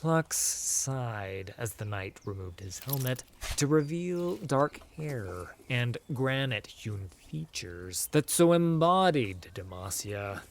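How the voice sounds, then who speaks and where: A male audiobook narrator delivers epic fantasy prose in a theatrical style. His mid-pitched voice swings widely in pitch, and he takes his time, with measured, deliberate phrasing. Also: lively intonation, a little hiss or hum. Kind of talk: dramatic scripted fantasy narration.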